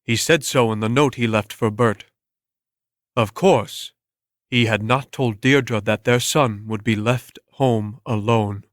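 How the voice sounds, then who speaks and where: A man's voice: mid-range voice, steady tone, takes it slowly, no room sound, clean studio sound. A man reading scripted narration as the storyteller in a dramatic reading.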